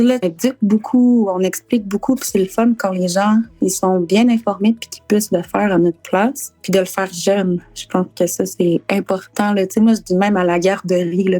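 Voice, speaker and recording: Quebec French woman, dark mellow tone, dry close sound, informal, earnest interview talk. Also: mid-range voice, dry recording. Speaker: artist and content creator, guest mentor.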